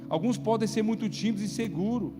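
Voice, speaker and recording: A man's voice: high-pitched, quick pace. A man with a Brazilian accent preaching to a congregation as a pastor, in a conversational way that checks in with listeners through rhetorical questions. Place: church, live-streamed service. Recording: reverberant hall, loud music underneath. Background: loud music.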